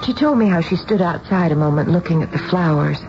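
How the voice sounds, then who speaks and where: An actress playing a caring, fretful wife who also narrates a radio drama, earnest in manner. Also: female voice, lowish voice, animated, brisk, music in the background. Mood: narrating. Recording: dull, noisy old radio broadcast recording.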